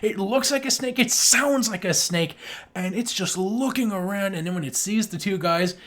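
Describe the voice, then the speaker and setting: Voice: medium pitch, moderate pace, a bit bright. An enthusiastic podcast co-host in casual film discussion, given to acting out sound effects and swearing casually.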